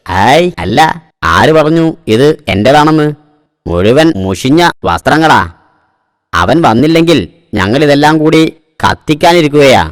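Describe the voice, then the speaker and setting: A man's voice: a little high, lively intonation, unhurried, pauses often. A man voicing a sly, grumbling shop owner in a cartoon, with long echo on the recording. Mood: evasive, sly. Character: grumbling, sly adult male shop owner, evasive boss. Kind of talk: cartoon voice acting.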